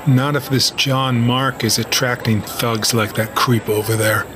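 An actor playing a cynical, stern police officer in an audio drama, dry and skeptical. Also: male voice, mid-range voice, fairly even intonation, a little slow, music in the background, natural voice. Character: cynical, stern male police officer, self-styled realist. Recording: crowd noise behind. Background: crowd.

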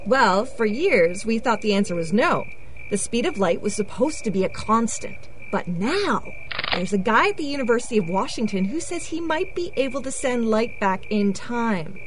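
An actress playing a witty, self-assured quantum physicist in an audio drama, explaining things in a wry way. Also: female voice, on the high side, lively intonation, normal speed, noisy background, lightly acted. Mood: wry, explanatory. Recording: outdoor night ambience with crickets, frogs. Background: crickets and frogs.

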